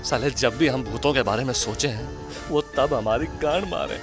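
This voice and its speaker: A man's voice: mid-range voice, moderate pace, natural voice. Man playing grumbling, cynical sidekick Prasad over music. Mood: grumpy, sarcastic. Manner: blunt, slangy, grumbling. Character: Prasad, grumpy, cynical, foul-mouthed male sidekick. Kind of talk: horror-comedy audio drama acting. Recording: music under dialogue.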